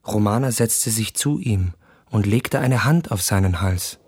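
An actor playing an earnest, courteous young male visitor in a literary radio play, speaking in measured, reflective phrases full of wonder. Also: fairly low pitch, darkish tone, breathy edge, dry recording.

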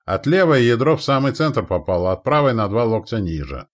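Man as an excited battlefield lookout calling hits. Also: mid-range voice, fairly quick, pitched above the performer's own voice, lightly acted. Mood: urgent reporting. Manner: loud, excited reporting.

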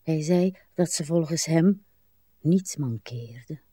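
An actress playing a weary, wistful old woman in a literary radio drama, speaking haltingly and pensively, with sighs. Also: low voice, expressive, dark tone, breathy edge, no room sound, studio quality, full character voice. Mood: brooding. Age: older. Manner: slow, halting, pensive delivery with drawn-out sighs. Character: weary, wistful, brooding old Irish countrywoman, a wife.

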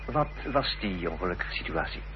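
An actor playing a polite, persistent investigator in a Dutch radio play, with formal, probing questions. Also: male voice, low voice, sing-song, brisk. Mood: inquisitive. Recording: dull old radio recording with hiss and hum.

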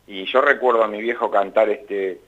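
Low-voiced Argentine man, musing. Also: fairly even intonation, normal speed, phone-quality sound. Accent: Argentine Spanish. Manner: reflective, rambling, laughs often. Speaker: guest. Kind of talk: casual radio interview.

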